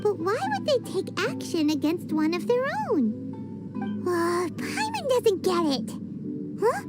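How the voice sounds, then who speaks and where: Casting: tiny excitable fairy sidekick for a game, very high squeaky child voice, loud music underneath. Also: female voice, takes it slowly, full character voice. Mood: curious. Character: small childlike fairy companion girl, curious and excitable. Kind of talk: video game voice acting.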